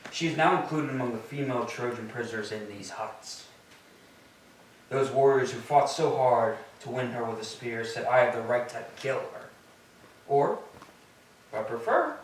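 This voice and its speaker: A man's voice: medium pitch, natural voice. A man playing a vengeful, commanding ancient Greek king and wronged husband in a staged tragedy reading, declaiming imperiously. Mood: resolved. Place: church, live staged reading. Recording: echoey church, distant mic, faint noise.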